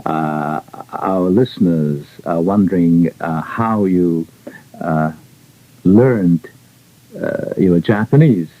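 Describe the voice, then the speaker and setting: Deep-voiced male radio interviewer. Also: slow pace, no echo. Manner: attentive, constant "mm-hmm" backchannels. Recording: narrow, tinny old radio recording.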